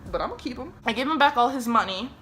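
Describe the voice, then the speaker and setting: A woman's voice: fairly high pitch, very fast, soft background music. A young woman from Germany telling a story in a casual, chatty way, swearing often. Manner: chatty, swears often, lively re-enacted quotes.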